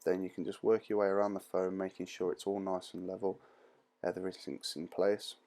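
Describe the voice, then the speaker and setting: A man's voice: deep voice, level tone, fairly quick, darkish tone. A man giving an informal step-by-step tutorial voice-over in a plain-spoken, reassuring, explanatory manner. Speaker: repair tutorial presenter.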